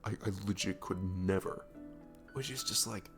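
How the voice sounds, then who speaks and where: A lowish male voice , performed by an actor plays an affectionate young best friend in a romantic roleplay audio. He rambles in a casual, goofy, gushing way, as if chatting one to one. Faint music plays behind him. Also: normal speed, a bit bright. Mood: sincere, admiring. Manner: rambling, gushing, informal, chuckling between phrases. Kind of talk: romantic ASMR roleplay audio, casual improvised-sounding chat.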